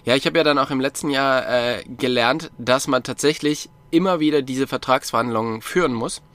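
Man, casual chat, lots of fillers, bright tone, dry sound. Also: medium pitch, fairly quick, dry recording. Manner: relaxed, rambling with many fillers. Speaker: podcast co-host, sponsored cyclist.

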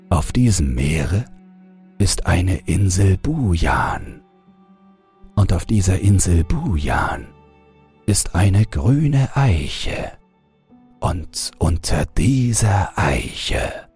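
A man voicing a sly, sinister deathless old villain in a fairy tale, with a breathy, gravelly rasp and a slow, menacing delivery. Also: sits low, a little mellow, exaggerated voice.

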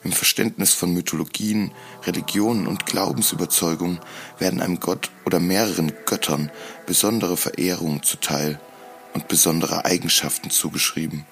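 Male narrator, very low with gravelly fry, for solemn, deliberate, dramatic first-person monologue. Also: steady tone, a bit bright, faint music behind.

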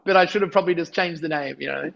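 A man's voice: a little high, fast talker, creaky, a bit bright, rough recording. Young man, slightly lost voice. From Australia. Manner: rambling, self-deprecating, many restarts. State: losing his voice. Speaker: musician guest. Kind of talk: casual interview chat.

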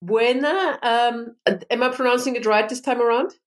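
A woman hosting a podcast interview, articulate and enthusiastic, a little halting when asking questions. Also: a little high. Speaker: podcast host.

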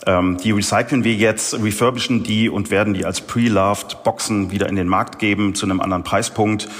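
Man, low voice, interview guest, hesitant casual explanations, strong room reverb. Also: steady tone, brisk. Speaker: interview guest, company representative. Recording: long reverberant room echo.

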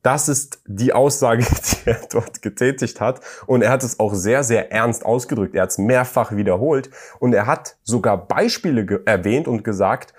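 A man co-hosting a podcast, speaking in an earnest, measured way and slowing down on key phrases. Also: medium pitch, darkish tone, slightly rough. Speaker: podcast co-host. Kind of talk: casual conversational podcast.

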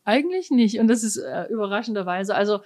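A woman's voice: mid-range voice, expressive, fast talker, a little mellow. A middle-aged woman from eastern Germany, a cellist being interviewed, speaking in a thoughtful way with many fillers and restarts. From East Germany. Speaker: orchestra cellist, interview guest. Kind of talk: casual conversational interview.

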